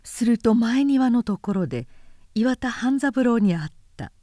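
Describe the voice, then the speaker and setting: A woman narrator reading literary fiction aloud in a measured, expressive storytelling manner. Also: fairly high pitch, no room sound, studio quality. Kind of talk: literary audiobook recitation.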